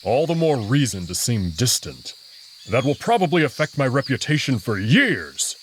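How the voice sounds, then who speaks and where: A man playing a proud, sulky young male alien wolf noble in a visual novel, measured and haughty with some hesitation. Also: medium pitch, sing-song, a little hiss or hum, lightly acted. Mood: irritated.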